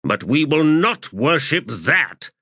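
Male narrator voicing a grave officer, slow and blunt, bright tone. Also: fairly high pitch, big pitch swings, husky, no room sound, clean studio sound, pitched above the performer's own voice, exaggerated voice.

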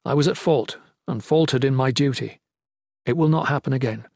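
A man's voice: mid-range voice, moderate pace, gravelly, a little mellow, no echo. A man voicing a defiant, loyal guardsman in a fantasy audiobook, speaking firmly with dry formality and stoic pride. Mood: formal, urgent.

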